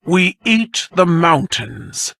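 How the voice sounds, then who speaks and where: A man's voice: fairly high pitch, big pitch swings, very slow, creaky, bright, forceful tone, no room sound, clean studio sound, exaggerated voice. A man voicing a proud, commanding alien warlord in a video game, speaking in solemn, imperious declamation. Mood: rousing pride. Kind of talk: dramatic video game voice acting.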